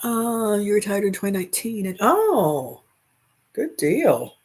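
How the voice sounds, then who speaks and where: A woman's voice: mid-range voice, very animated, unhurried, a little mellow, husky. A talkative woman in her sixties chats easily through a webcam in a small room at home. She laughs often and answers her viewers' comments while she crochets. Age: 60s. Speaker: crochet livestream host. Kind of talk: casual chat while crocheting. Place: small bedroom at home, indoors. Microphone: webcam at desk.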